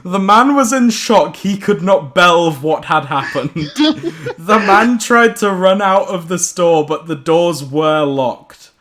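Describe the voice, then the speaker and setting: A man's voice: sits high, slow pace, a bit bright, a little hiss or hum. A young man reading a silly horror story to friends, giggling and stumbling over the words as storyteller.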